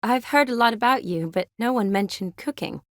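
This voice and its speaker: A woman's voice: fairly high pitch, expressive, a little fast, fairly bright tone, no echo, studio quality. An actress voicing a spirited, teasing young woman medic in a fantasy video game, conversational and wry. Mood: playful.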